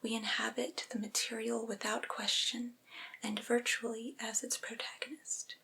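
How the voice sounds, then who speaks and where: A woman reading literary prose aloud in a breathy, unvoiced ASMR whisper, with measured, deliberate delivery. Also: on the high side, flat delivery, bright, forceful tone, low-quality recording.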